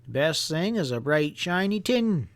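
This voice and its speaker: A man's voice: a little high, sing-song, a little slow, pitched above the performer's own voice. A man voicing a mischievous, folksy old country grandfather who explains things with earnest emphasis in an audiobook story. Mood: earnest, instructive. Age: older.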